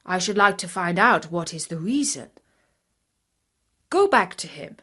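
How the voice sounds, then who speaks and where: A dignified storybook Caliph, played by a woman, commanding yet gentle. He speaks in stately, formal sentences with a measured, curious manner. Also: fairly low pitch, sing-song, slightly rough, full character voice. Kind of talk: children's storybook reading.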